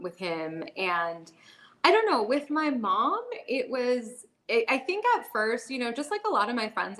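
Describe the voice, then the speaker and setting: A woman's voice: medium pitch, a bit bright. A woman in her thirties, a podcast guest, talking in a candid, chatty way, full of "like" and "you know" fillers and light laughter. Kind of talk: candid conversational storytelling.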